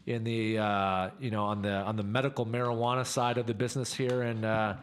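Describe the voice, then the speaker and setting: A man's voice: on the low side, flat delivery, fairly quick, slight background noise. A man hosting a casual radio interview. He keeps repeating his words and filling the gaps with "uh". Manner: hesitant, repeats words, many fillers. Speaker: radio show host.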